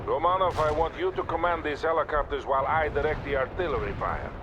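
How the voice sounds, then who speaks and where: Actor as stern Russian commander amid battle. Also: male voice, a little high, fast, muffled recording, close to an everyday voice. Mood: measured approval. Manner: commanding, clipped, formal. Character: stern, composed Soviet field commander on military radio. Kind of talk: scripted video game military radio dialogue. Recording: loud battle noise behind. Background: artillery fire, explosions and gunfire.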